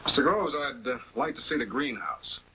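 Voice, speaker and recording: A man's voice: medium pitch, expressive, lightly acted. A man playing a composed, affable public prosecutor in a late-1940s television crime drama, speaking in a polite, conversational way. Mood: politely probing. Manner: conversational, polite, measured questioning. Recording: dull, noisy 1940s film soundtrack.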